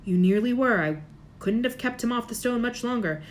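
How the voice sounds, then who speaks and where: A woman's voice: a little high, lively intonation, moderate pace, pitched above the performer's own voice, natural voice. A woman voicing a brave, anxious schoolboy wizard in a storybook reading, speaking in urgent exclamations.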